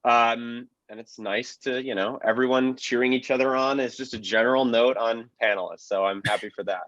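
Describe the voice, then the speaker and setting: A man gives panel commentary in a medium-pitched voice, explaining things with enthusiasm. The recording is dry and somewhat dull, lacking fidelity. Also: fairly even intonation, moderate pace, fairly bright tone, dry recording. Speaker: panelist, film and radio historian.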